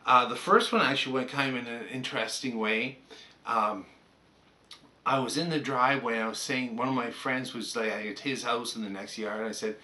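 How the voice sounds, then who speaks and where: Bright-voiced older Canadian man reminiscing. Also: medium pitch, normal speed. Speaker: hobbyist presenter. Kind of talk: casual reminiscing vlog.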